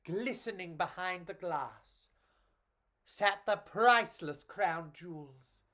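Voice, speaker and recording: A woman's voice: on the low side, takes it slowly, fairly bright tone. A woman reading and chatting to children as a storyteller, in a theatrical, gushing and affectionate way.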